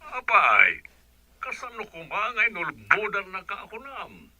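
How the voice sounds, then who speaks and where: A man playing an older businessman father in a radio drama, stern and probing, with deliberate questioning. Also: high voice, huge pitch swings, bright, forceful tone, no echo, lo-fi sound, full character voice.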